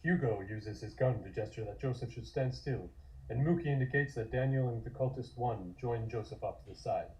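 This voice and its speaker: A man's voice: medium pitch, fairly quick, dark tone, lo-fi sound. A man narrating stage directions from a script at an online table read. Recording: echoey room.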